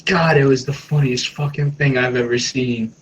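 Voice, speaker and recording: Mid-pitched man, casual sweary gamer. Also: steady tone, moderate pace, slight background noise. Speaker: tabletop game player. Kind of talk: casual sweary banter during roleplay game.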